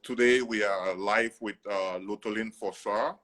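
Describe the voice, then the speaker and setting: A man's voice: lowish voice, fairly even intonation, measured pace, very noisy. A man hosting a live video call interview, speaking hesitantly with frequent fillers. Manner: hesitant, many "uh" fillers, warm praise. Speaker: host.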